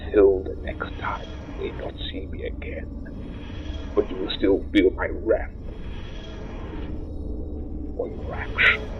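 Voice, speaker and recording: Menacing alien soldier, really low flat voice, proud and vengeful, muffled sound, sci-fi audio drama. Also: male voice, takes it slowly, long pauses, noisy background. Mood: bitter, threatening. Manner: menacing, declamatory, militant. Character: vengeful, proud alien Sontaran warrior, male. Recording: dull muffled lo-fi sound with music.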